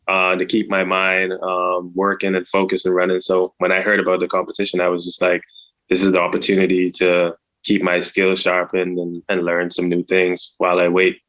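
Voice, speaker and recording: A young man from the Bahamas, a graduate student, answering interview questions calmly with many hesitations. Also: low voice, normal speed, rough recording. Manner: calm, hesitant, many ums. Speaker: student team member.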